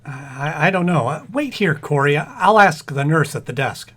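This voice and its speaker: A flustered radio-comedy husband acted by a man, stammering and repeating himself with boyish eagerness. The voice is rather husky and swings widely in pitch. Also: expressive, moderate pace, fairly bright tone. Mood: nervous, flustered. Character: flustered, excitable husband and eager expectant father. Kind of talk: old-time radio comedy acting.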